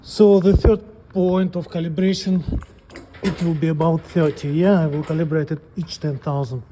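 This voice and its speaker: Man, slightly high pitch, very soft dark timbre, halting hands-on instruction, faint background noise. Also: steady tone. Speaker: presenter of a scale calibration tutorial. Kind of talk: unscripted step-by-step technical demonstration.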